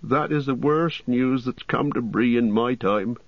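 A man's voice: mid-range voice, measured pace, no room sound, highs cut off, pitched above the performer's own voice. A man voicing a fussy, flustered middle-aged innkeeper, chattering in rustic turns of phrase, in a storybook reading. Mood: alarmed.

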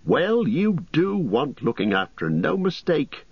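A male narrator plays a fussy middle-aged country innkeeper for a fantasy storybook. The pitch jumps high and swings about, with a husky edge. He chatters in folksy, rustic phrases, easily flustered. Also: big pitch swings, takes it slowly, no room sound, highs cut off, full character voice. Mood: anxious, helpful.

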